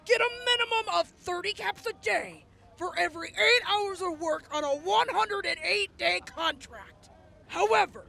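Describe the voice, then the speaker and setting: A woman acting in an audio drama as a composed, courteous officer, speaking formally and in measured phrases. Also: very high voice, creaky, bright, forceful tone, exaggerated voice.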